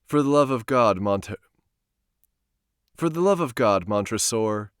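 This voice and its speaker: A man's voice: medium pitch, lively intonation, measured pace, clean tone, dry recording, studio quality, natural voice. A man voicing a doomed nobleman in a horror story, theatrical, with forced mirth and desperation. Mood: anxious pleading.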